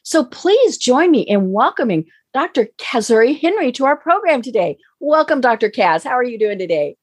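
Female talk-show host, upbeat and gushing, slightly husky, very animated delivery. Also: fairly high pitch, normal speed. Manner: bubbly, gushing, frequent fillers. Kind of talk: enthusiastic interview hosting.

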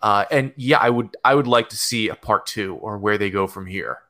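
A man on a podcast panel giving measured, qualified opinions in casual review chat. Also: fairly low pitch, bright, forceful tone.

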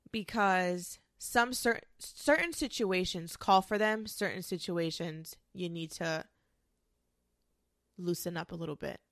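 A woman's voice: lowish voice, creaky, a bit bright, dry recording, clean studio sound. A young woman with a congested, nasal voice from a cold, giving frank, chatty advice as a podcast host and swearing casually.

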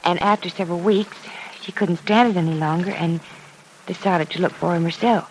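A woman's voice: fairly low pitch, steady tone, a little fast, lightly acted. An actress playing a teasing, good-natured young woman in an old-time radio drama, talking in a candid, conversational way. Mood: earnest, explaining. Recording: noisy vintage radio broadcast recording.

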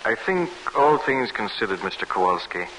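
Calm, official diplomat man, fairly low, crisp-edged, 1940s radio drama with faint hiss. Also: normal speed, poor audio. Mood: calm, concerned. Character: calm, helpful American diplomat man, businesslike and cautious. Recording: hissy old radio recording.

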